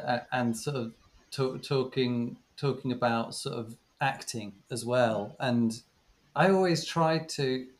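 A man with an everyday mid-range voice shares teaching memories on a podcast. He talks casually and thoughtfully, restarting sentences and repeating words as he works out what to say. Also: mid-range voice. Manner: reflective, many restarts and stammered repeats. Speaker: podcast co-host, former teacher. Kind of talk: casual chat.

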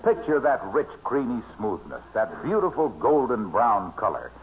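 An actor playing a jovial American announcer friend in an old radio comedy, with a polished, enthusiastic sales delivery. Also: male voice, mid-range voice, very animated, moderate pace, natural voice. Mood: gushing, salesy. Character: jovial, hearty pitchman friend who slips into sales talk. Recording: muffled old-time radio recording, faint noise.

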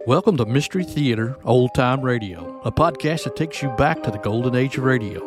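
A man's voice: mid-range voice, expressive, a little fast. Male podcast host over music. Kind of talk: scripted podcast intro narration.

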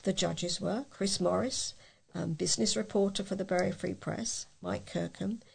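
A British woman reading local news aloud as a volunteer reader, in a measured, plain way with a gravelly, husky voice. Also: mid-range voice, steady tone, a little mellow.

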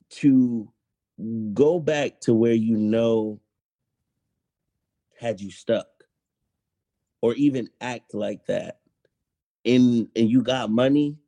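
A young man joining a podcast as a musician guest, talking in a relaxed, matter-of-fact way. His voice sits at a middle pitch with a mellow, warm tone. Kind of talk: casual interview chat.

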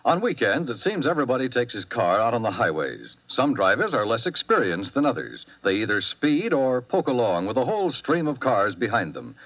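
A man's voice: mid-range voice, wildly swooping pitch, fairly quick, hardly any pauses. An American man reading scripted announcements as a 1950s radio announcer, emphatic and formal. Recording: muffled vintage radio broadcast, music behind.

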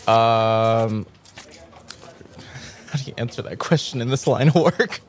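A man playing a dry agency clerk in an improvised audio drama, tentative and deadpan as he reports. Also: medium pitch, unhurried, a little hiss or hum, close to an everyday voice. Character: unflustered, dry male agency clerk reporting to his superior.

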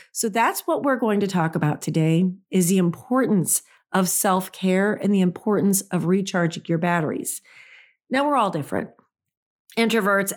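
A raspy-voiced woman, talking as host of her own podcast. She chats casually and laughs often, and her voice sits in a middle pitch. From Midwest United States. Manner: chatty, laughs often, says y'all. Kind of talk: casual solo podcast chat.

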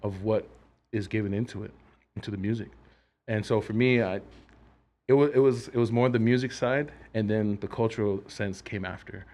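A man from Samoa, a band member guest on a podcast, answering in a thoughtful, halting, soft-spoken way. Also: lowish voice, fairly even intonation. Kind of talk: casual interview answer.

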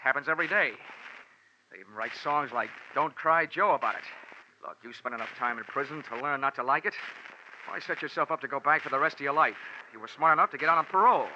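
An actor playing a hard-boiled, wisecracking investigator with an American accent, wry and deadpan in 1940s radio detective style. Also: male voice, fairly high pitch, quick pace. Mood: wary, persuasive. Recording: muffled, hissy 1940s radio recording.

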